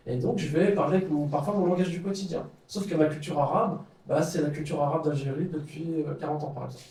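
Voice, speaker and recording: A man's voice: fairly high pitch, dark tone. Hesitant man lecturing in an echoey room. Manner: hesitant, many fillers and restarts. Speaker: speaker leading a study circle. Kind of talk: informal lecture with questions. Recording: echoey room, far from the mic.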